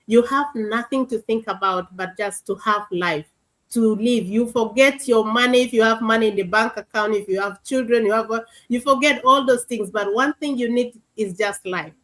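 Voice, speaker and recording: A woman's voice: a little high, moderate pace, fairly bright tone. A woman from Sudan, hosting a live online interview show in an earnest way and often repeating words for emphasis. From South Sudan. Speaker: host. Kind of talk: livestream interview.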